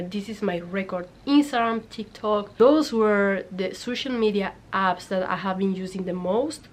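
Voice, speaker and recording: A woman's voice: medium pitch, slight background noise. A young woman from Senegal, not a native English speaker, chatting upbeat to her camera as a YouTuber, repeating phrases for emphasis. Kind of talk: casual talking-to-camera vlog.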